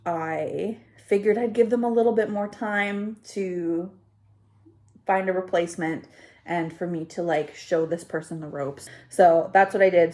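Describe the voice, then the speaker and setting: Slow-paced congested woman, rambling vlogger. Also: lowish voice, mellow. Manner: self-deprecating, scattered, conversational asides. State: slightly congested. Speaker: YouTube vlogger. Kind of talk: casual rambling chat to camera. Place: home kitchen, indoors.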